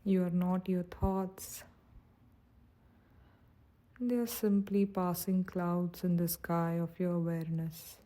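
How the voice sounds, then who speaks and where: A woman narrates a guided meditation in a very mellow, soft and breathy voice. Her pitch sits a little low and stays quite even. She speaks gently and slowly, with a soothing, unhurried manner.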